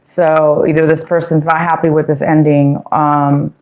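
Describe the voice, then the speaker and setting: A woman's voice: deep voice, level tone, a little slow, a little mellow, a little hiss or hum, low-quality recording. A woman giving a casual tarot reading to her viewers, meandering with fillers and self-corrections, her voice getting worn. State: losing her voice. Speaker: tarot reader.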